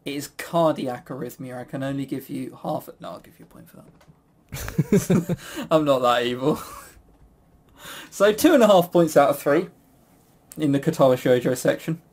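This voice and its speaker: A man's voice: mid-range voice, normal speed, pauses often, a little mellow. A man hosting a quiz in a casual, jokey, teasing way. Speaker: quiz host.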